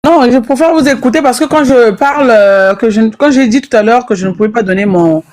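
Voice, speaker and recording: A woman from Brazzaville, speaking haltingly as a panelist in an online debate. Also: fairly high pitch, darkish tone. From Brazzaville, Congo. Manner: hesitant, many fillers and restarts, defensive.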